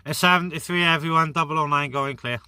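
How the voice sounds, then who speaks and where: A man's voice: a little high, fast, bright, forceful tone, a little hiss or hum. A man chatting casually and informally as a vlogger and CB radio operator. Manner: matey, informal. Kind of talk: casual radio chat and vlog talk. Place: outdoors on a mountain top.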